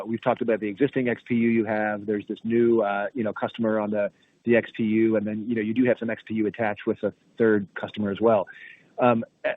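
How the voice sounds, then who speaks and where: A man speaking as a financial analyst, asking a rambling question on an earnings call with hesitations and restarts. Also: lowish voice. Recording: narrow phone line audio.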